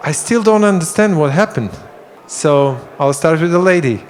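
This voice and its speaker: A man's voice: fairly high pitch, animated. A man moderating a conference panel, talking with frequent restarts and fillers. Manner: halting, many restarts. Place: conference hall.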